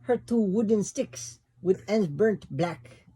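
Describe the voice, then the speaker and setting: A man's voice: high voice, animated, dark tone, breathy edge. A man in his thirties reading a children's picture book aloud to his toddler in a small bedroom, recorded close on a phone. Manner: put-on accent in quoted lines, slow and laughing. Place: small bedroom at home, indoors. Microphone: phone camera held close.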